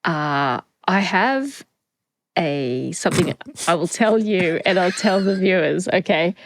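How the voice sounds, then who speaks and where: Unhurried, lively middle-aged woman. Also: on the low side, slight background noise. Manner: unhurried, storytelling. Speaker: podcast co-host. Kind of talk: casual chat. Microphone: podcast microphone.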